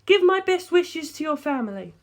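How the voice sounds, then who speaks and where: A narrator puts on a well-bred young woman in an audiobook, her voice lifted very high and swooping. She is anxious and fragile, speaking politely and close to tears. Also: sing-song, moderate pace. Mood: formal, subdued. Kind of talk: audiobook character dialogue.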